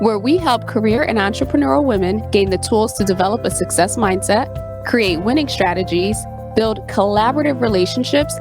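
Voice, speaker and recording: A woman hosting a podcast, speaking in an effusive, motivational manner. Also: mid-range voice. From Haiti. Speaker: podcast host. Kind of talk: podcast intro and interview hosting.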